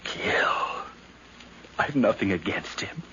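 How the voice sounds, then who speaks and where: An actor playing a nervous, obsessed nephew sliding into madness, halting and brooding, often dropping to a whisper, in 1950s radio drama style. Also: male voice, lowish voice, animated. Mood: obsessed, haunted. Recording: noisy, muffled 1951 radio recording.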